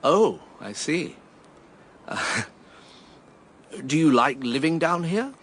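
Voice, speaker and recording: A man's voice: on the high side, very animated, very slow, a bit bright, a little hiss or hum, exaggerated voice. Melancholy, reserved Englishman. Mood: polite, awkward. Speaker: actor in radio drama. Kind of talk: radio drama acting.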